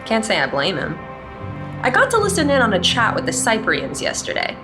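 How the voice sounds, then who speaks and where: A woman playing a sardonic, casual cyber-security specialist recording a personal log in a sci-fi audio drama. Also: medium pitch. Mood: sober, uneasy. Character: wry, sharp female cyber-security specialist keeping a log. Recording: music bed under the voice.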